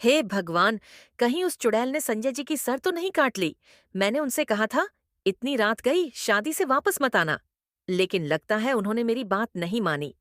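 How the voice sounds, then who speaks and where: Horror-tale village wife, acted by a woman: anxious, pleading, high and very expressive. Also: normal speed, dry recording, clean studio sound, lightly acted. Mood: worried. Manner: worried, pleading. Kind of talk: dramatized story acting.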